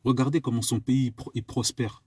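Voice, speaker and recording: Husky-voiced French preacher exhorting. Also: male voice, mid-range voice, fairly even intonation, brisk, darkish tone, no room sound. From France. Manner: exhorting, repeats rhetorical questions. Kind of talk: live online sermon.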